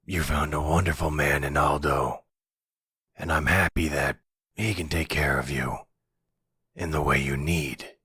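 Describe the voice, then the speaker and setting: A man performs a gruff older mafia boss in an intimate roleplay drama. The voice is extremely low and very husky, close and dry. He speaks slowly and hesitantly, weary but tender. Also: steady tone, pushed and bright, no echo, exaggerated voice. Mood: regretful, tender. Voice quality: gravelly, husky. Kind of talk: boyfriend roleplay audio drama.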